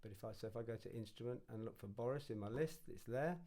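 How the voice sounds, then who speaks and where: A man giving an informal, step-by-step music software tutorial, thinking aloud as he instructs. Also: fairly low pitch, quick pace.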